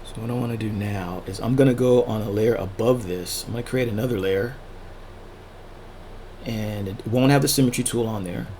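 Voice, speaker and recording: Low, gravelly man narrating a drawing tutorial. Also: dark tone, a little hiss or hum. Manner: unhurried, thinking aloud in short fragments. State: losing his voice, throat-clearing. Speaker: art tutorial host, illustrator. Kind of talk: casual drawing tutorial narrated while sketching.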